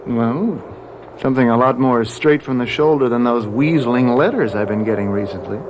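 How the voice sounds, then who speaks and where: An actor playing an earnest, plain-spoken young law student in a radio drama, with direct, colloquial speech. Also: male voice, mid-range voice, normal speed, natural voice. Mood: wounded, direct. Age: young adult. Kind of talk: American radio drama acting. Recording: radio drama with clear background noise.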